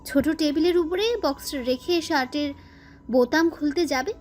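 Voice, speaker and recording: A woman's voice: sits high, moderate pace, a little mellow, faint music behind. A woman reading scripted narration as the storyteller of a romantic audio story.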